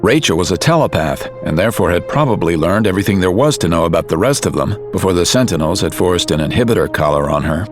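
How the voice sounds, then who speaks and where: A man reading scripted dramatic narration for an audio drama. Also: sits low.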